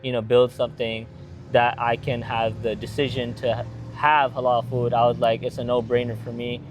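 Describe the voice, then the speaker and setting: A man's voice: medium pitch, little pitch movement, moderate pace, slight background noise. A young man from Atlanta who owns a gas station restaurant, telling its story in a casual interview with eager, rambling answers and many fillers. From Atlanta, Georgia.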